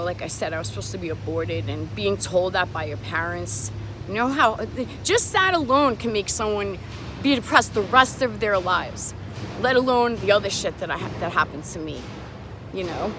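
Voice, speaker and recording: A woman vlogging from her phone camera as she walks outdoors, talking to her viewers in an emotional, frustrated way and swearing often. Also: fairly low pitch. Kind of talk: walking street vlog talking to camera. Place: outdoors on a city street. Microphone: handheld phone camera. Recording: noisy outdoor street recording. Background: traffic and street noise.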